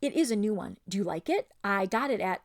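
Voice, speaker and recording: A woman reading aloud and putting on a loud, frivolous young noblewoman who gushes in a shrill, drawling voice. The sound is dry and close. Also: expressive, a little fast, no room sound, lightly acted. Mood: gushing. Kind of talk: storybook read-aloud.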